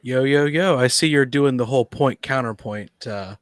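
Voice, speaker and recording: A man's voice: mid-range voice, normal speed, no room sound, studio quality. A man speaking as a podcast guest in a casual panel discussion, often thinking aloud.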